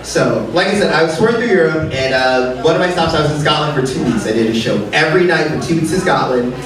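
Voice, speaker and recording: A man in his late thirties doing a live stand-up set, animated and exaggerated, swooping into high squeals. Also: fast talker. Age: late 30s. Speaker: stand-up comedian. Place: comedy club stage, live audience. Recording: roomy club sound from a distance, crowd laughter.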